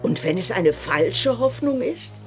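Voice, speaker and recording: A woman's voice: medium pitch, very animated, unhurried, darkish tone, echoey room, long reverb tail, faint music behind. An actress playing an elderly, uneasy, doubting mother of the household in a horror audio drama.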